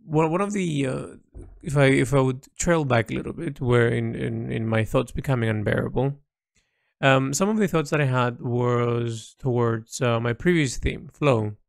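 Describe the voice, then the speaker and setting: A man recording a reflective, unscripted audio diary, thinking aloud haltingly with repeats, in a creaky voice. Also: mid-range voice, no echo, clean studio sound. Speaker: painter recording an audio log.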